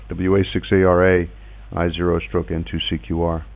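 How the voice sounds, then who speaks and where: A middle-aged man chatting casually and reminiscing in a halting way, repeating words as he goes. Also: deep voice, level tone, vocal fry. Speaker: podcast host and ham radio operator. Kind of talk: casual radio chat, reminiscing. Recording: tinny phone-like internet radio link.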